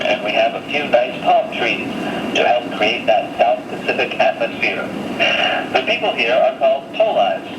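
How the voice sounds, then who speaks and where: A male radio presenter with a mid-pitched voice reads in a measured, carefully enunciated way. He comes through a shortwave broadcast with clear noise over it and a noticeable echo around the words. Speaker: radio host. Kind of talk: reading a listener's letter on air.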